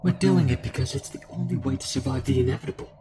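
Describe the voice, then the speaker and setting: A man playing a calm, sinister cult fanatic fused with a devouring entity, taunting in measured monologue in an audio drama. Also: medium pitch, noisy background, lightly acted. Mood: ominous, grave. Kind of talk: dramatic audio roleplay acting. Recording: music under the voice.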